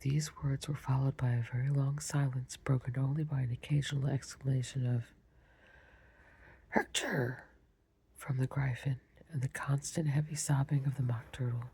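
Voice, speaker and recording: Soft, gravelly whispering storyteller. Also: mid-range voice, flat delivery, fairly quick, few pauses. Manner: soft, apologetic, chatty asides. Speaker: storyteller reading aloud. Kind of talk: whispered bedtime story reading.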